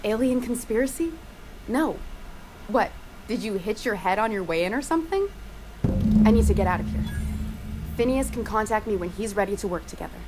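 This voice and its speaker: A woman's voice: medium pitch, expressive, brisk, long pauses, over music, close to an everyday voice, low-quality recording. Earnest, weary woman scientist, played by an actress. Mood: exasperated. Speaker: scientist character in a video game. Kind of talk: video game dialogue acting.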